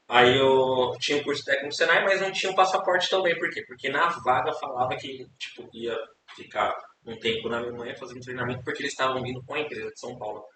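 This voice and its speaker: Brazilian man, distant and echoey. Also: mid-range voice. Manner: rambling, repeats words, many tag questions. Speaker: podcast guest. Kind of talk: casual storytelling interview. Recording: echoey room, distant mic, hiss.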